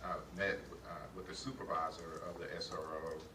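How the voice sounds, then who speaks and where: A man answering questions at a public meeting in formal testimony, halting with many filler pauses and polite "yes, sir" replies. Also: very deep voice, flat delivery. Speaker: school district official answering questions. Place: public board meeting room. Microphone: shared table mic, often off-axis. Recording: echoey room, distant mic, noisy.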